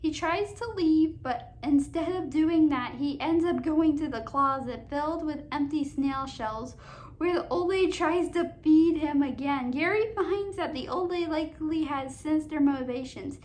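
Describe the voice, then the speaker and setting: A woman hosting a casual commentary video, reading summaries aloud in a halting way, often stumbling and repeating words. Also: sits high, darkish tone. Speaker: host of a cartoon countdown video.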